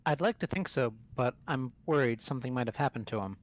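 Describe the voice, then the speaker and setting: Formal, anxious schoolboy for a visual novel, male actor: mid-range, brisk and urgent. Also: medium pitch, animated, lightly acted. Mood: urgent, worried. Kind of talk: fan-voiced visual novel acting.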